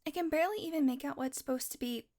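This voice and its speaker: A woman's voice: sits high, very fast, mellow. A woman voicing a playful, predatory female vampire who teases and mocks, sometimes dropping to a whisper. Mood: teasing. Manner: playful, mocking, drifts into whispers. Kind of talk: audio roleplay.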